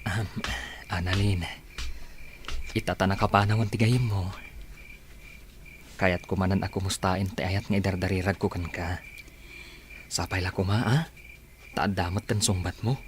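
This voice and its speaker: A man's voice: deep voice, fairly even intonation, moderate pace, long pauses, a little hiss or hum, close to an everyday voice. A man with an Ilocano accent playing an earnest young suitor in love in a radio drama, pleading and emotional. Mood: earnest, courting.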